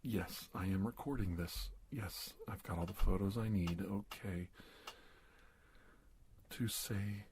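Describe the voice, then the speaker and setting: A man playing a courteous, startled investigator doctor in an ASMR roleplay, speaking in a hushed, breathy, stammering way. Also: low-pitched, a little hiss or hum. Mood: polite, flustered. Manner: halting, stammering, formal and courteous. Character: startled, polite investigator doctor facing an alien. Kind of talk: ASMR roleplay monologue, soft-spoken and whispery.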